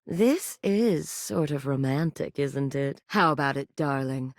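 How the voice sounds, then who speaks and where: An actress voicing a sultry, theatrical sentient sex toy in a video game, teasing and dramatic. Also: female voice, low-pitched, dry recording, heavily acted. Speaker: game character. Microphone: close studio mic. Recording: dry studio voice recording.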